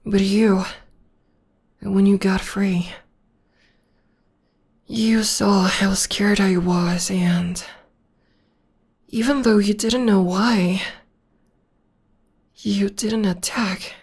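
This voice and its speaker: Woman as a breathy, half-whispering, gentle witch girlfriend. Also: medium pitch, steady tone, heavily acted. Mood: fond, reminiscing. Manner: tender, slow, soothing. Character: gentle, loving witch girlfriend comforting her demon partner. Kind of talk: ASMR roleplay, intimate personal attention.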